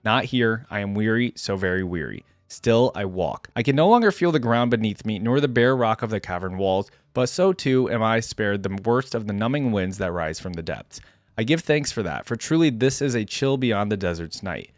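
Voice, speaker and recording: Man voicing weary warrior, grieving, defiant, solemn measured storybook delivery, lowish pitch, close dry sound. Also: lively intonation, dry recording, natural voice. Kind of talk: dramatic first-person story reading.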